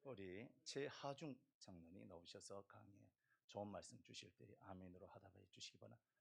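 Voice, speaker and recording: Hoarse, gravelly male pastor. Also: lowish voice. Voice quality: gravelly, husky. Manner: slow, deliberate scripture reading. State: hoarse from a throat cold. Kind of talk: church service introduction.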